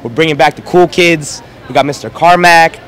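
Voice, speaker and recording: A young man, a musician guest, talking up upcoming parties at an indoor event on a handheld mic, brisk and hyped. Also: a little high, a bit bright. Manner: brisk, hype, promotional. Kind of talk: casual interview talk.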